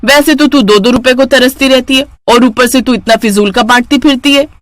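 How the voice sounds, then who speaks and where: A woman's voice: sits high, brisk, no echo, close to an everyday voice. A woman voicing a haughty, envious older sister in a storybook tale, scornful and taunting. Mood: scolding.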